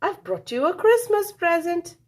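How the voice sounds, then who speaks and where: A woman puts on a cheery male squirrel for a children's tale. He calls out in a high, lively voice, friendly and exclaiming. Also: measured pace, heavily acted.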